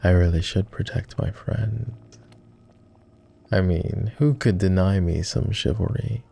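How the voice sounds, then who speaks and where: A man playing a flirty, courtly vampire aristocrat in an intimate roleplay ASMR, with refined, formal, teasing speech in a low, gravelly murmur. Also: a little slow, mellow, heavily acted. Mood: playful, teasing. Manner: refined, formal diction with playful teasing and sighs.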